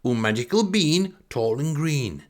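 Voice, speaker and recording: A man's voice: on the high side, expressive, unhurried, voice pushed up. A man voicing a keen amateur actor who declaims in sing-song rhyme within a comic story. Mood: eager.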